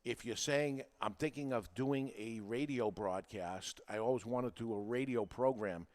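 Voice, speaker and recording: A man's voice: medium pitch, moderate pace, darkish tone. A man hosting a talk podcast, explaining and persuading in an emphatic, repetitive way with rhetorical questions. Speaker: podcast host. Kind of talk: informal talk show monologue, explaining and persuading.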